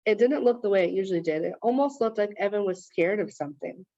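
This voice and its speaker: A woman's voice: mid-range voice, fast talker, mellow, no room sound. Woman reading a storybook aloud, dull dry sound. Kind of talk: read-aloud storybook narration.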